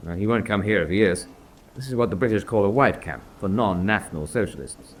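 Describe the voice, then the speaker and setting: An actor playing a senior officer in a radio drama, dry and authoritative, with a clipped, formal manner of briefing. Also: male voice, fairly low pitch, lively intonation, brisk, a little hiss or hum, lightly acted. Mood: dry, probing. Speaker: senior prisoner-of-war officer.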